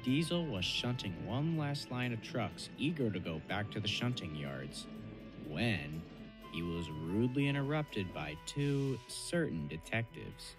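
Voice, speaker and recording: A man's voice: lowish voice, animated, a little slow. A man giving scripted narration of a children's story. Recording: music behind the voice.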